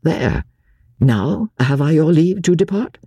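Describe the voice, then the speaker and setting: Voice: medium pitch, expressive, slow pace, dark tone, husky, dry recording, pitched above the performer's own voice. A reader voicing a cold, aloof aristocratic husband who speaks in a formal, drawling manner. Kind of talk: audiobook character voice.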